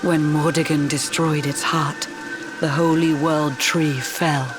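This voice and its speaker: Video game mermaid queen: low, unhurried, solemn rhyming narration, regal. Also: fairly even intonation. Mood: grave, sorrowful. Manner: stately, measured, grave. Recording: game music behind voice.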